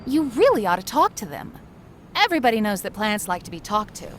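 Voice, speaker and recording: Flighty earth-mother type, acted by a woman; airy comic-dub performance, high swooping voice, slightly noisy recording. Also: sing-song, a little fast, close to an everyday voice. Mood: airy, whimsical. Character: flighty, breezy hippie mother of a teenage son. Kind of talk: scripted comic-dub acting.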